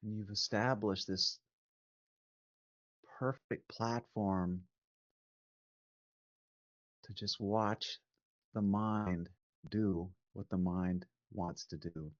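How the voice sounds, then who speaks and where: Male meditation teacher, phone-like narrow sound, calm and very unhurried, gentle measured instruction with long pauses. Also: fairly low pitch. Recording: phone-like narrow band, roomy echo.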